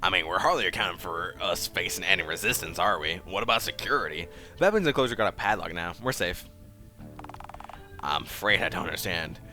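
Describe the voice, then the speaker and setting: Blunt Southern frontier gunslinger played by a man, low-pitched, folksy, plain-spoken game character. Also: expressive, brisk, bright, forceful tone, faint music behind, exaggerated voice. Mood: wary. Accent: American Southern. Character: gruff, blunt male cowboy gunslinger, frontier normie.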